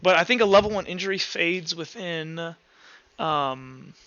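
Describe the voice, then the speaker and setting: A man casually improvising as game master, rambling haltingly with lots of filler words. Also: on the high side, lively intonation, a bit bright, lo-fi sound. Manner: halting, rambling, heavy use of "like".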